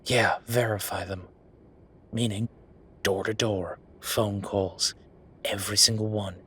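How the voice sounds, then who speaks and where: A man's voice: fairly low pitch, steady tone, slow, a bit bright, breathy edge, heavily acted. A man playing an angry, bitter werewolf boyfriend who vents with sweary sarcasm in a dramatic audio roleplay monologue. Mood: exasperated. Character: angry, exasperated male werewolf boyfriend, bitter office worker.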